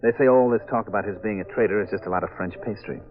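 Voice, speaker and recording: An actor playing a wry detective husband in an old radio mystery, with a dry, clipped manner. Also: male voice, fairly low pitch, very fast, natural voice. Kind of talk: 1940s radio mystery acting. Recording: muffled old radio recording.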